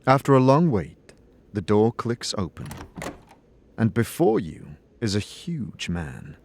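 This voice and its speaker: A man's voice: on the low side, very animated, takes it slowly, vocal fry, darkish tone. A man narrating a fantasy story dramatically in the second person, as a game master.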